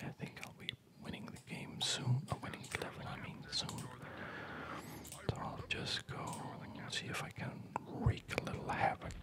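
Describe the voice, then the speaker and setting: A tired, rambling ASMR host whispering casual commentary while playing a video game, with hesitant, loosely connected phrasing.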